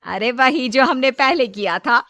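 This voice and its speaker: A woman's voice: high-pitched, lively intonation, unhurried, bright, forceful tone, no room sound, voice pushed up, exaggerated voice. A woman voicing a scheming, resentful young daughter-in-law in a Hindi moral story, indignant and exaggerated.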